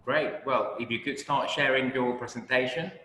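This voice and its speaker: A man's voice: medium pitch, moderate pace, crowd noise. A man moderating a webinar politely and informally, handing over to each speaker. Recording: roomy, noisy web call.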